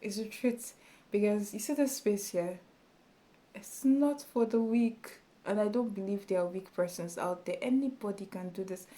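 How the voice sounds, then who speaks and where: A woman with a small, soft voice talking casually to her camera as a YouTube tutorial creator, chatty and encouraging with many fillers. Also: mid-range voice, normal speed. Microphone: camera in front of her.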